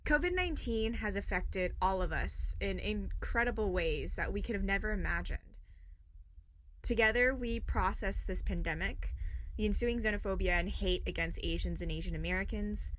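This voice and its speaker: A woman narrating an audio documentary from a script in an even, read-aloud way. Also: medium pitch, moderate pace, no room sound, rough recording.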